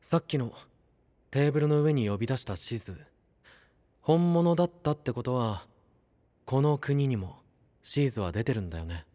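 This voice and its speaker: A man's voice: medium pitch, animated, moderate pace, pauses often, dark tone, lightly acted. A male voice actor playing a kind, curious, easygoing young man in an anime-style audio drama, with a casual, friendly manner.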